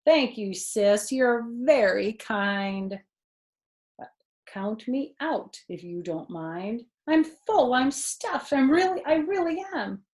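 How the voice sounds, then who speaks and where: A woman's voice: fairly high pitch, animated, slow, a little mellow, reverberant. Woman reading as a sly prankster boy. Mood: mock-polite. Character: sly, smirking prankster brother, a boy in a storybook.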